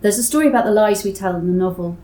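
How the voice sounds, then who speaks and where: A woman's voice: mid-range voice, racing, dark tone. A woman working as an interviewer, speaking conversationally about books.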